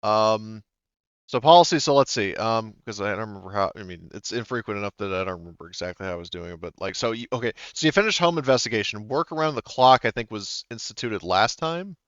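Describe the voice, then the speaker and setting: A man's voice: lowish voice, animated, quick pace, a bit bright, no room sound, highs cut off. A man running a tabletop game session as game master, thinking aloud and stopping often to check the rules. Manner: hesitant, thinks aloud with many fillers.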